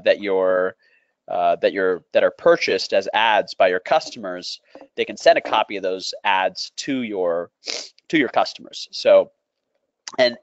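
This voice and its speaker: Guy doing a product webinar, lowish voice, kinda halting explainer, muffled lo-fi sound. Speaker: company presenter giving a product webinar. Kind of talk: unscripted sales presentation. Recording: dull, lo-fi audio in an echoey room.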